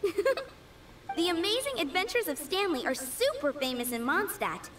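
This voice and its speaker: A woman's voice: extremely high pitch, big pitch swings, normal speed, recorded from a distance. A woman voicing a sweet, dreamy, innocent little girl in an anime game. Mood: admiring.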